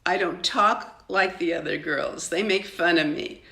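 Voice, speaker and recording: A woman's voice: on the low side, unhurried, vocal fry, pushed and bright, heavily acted. An older woman reading the part of a teased, unhappy immigrant schoolgirl, earnest and pleading. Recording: roomy, echoing home recording.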